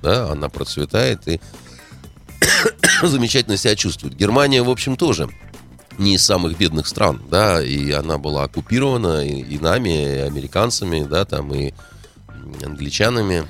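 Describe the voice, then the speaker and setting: A man's voice: rumbling low, expressive, moderate pace, fairly bright tone, soft background music. A man in a heated political discussion, indignant, firing rhetorical questions and hesitating often.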